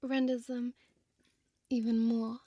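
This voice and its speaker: A woman playing a seductive, possessive vampire innkeeper in an ASMR audio roleplay, whispering softly with hypnotic, commanding slowness. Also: fairly high pitch, flat delivery, dry recording, lo-fi sound. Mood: teasing, seductive. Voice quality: breathy, soft whisper. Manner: slow, hypnotic, coaxing, deliberate pauses.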